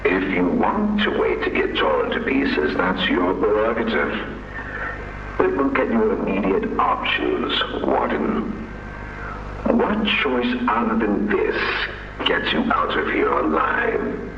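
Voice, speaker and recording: An actor playing a manipulative, smug mad doctor in a video game, coolly mocking and persuasive. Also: male voice, sits low, sing-song, slow pace, echoey room, highs cut off, soft background music, full character voice. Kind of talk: dramatic video game villain acting.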